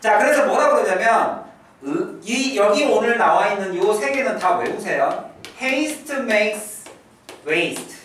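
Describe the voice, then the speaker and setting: A male English teacher giving an exam prep lecture, repeating key phrases slowly and drilling his students. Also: high voice, fairly bright tone, heavy reverb, distant mic, a little hiss or hum, poor audio.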